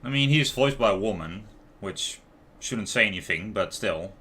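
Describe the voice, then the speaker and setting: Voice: low-pitched, animated, normal speed, a bit bright, husky. A game streamer giving casual, blunt live commentary and informal reactions to a game's dialogue. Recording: music in the background. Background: music.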